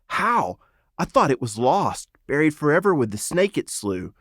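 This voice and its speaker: A man's voice: fairly high pitch, very animated, normal speed, a bit bright, no echo, clean studio sound, pitched above the performer's own voice. A man voicing an earnest, indignant young sword-bearing hero in a storybook, urgent and questioning. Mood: surprised.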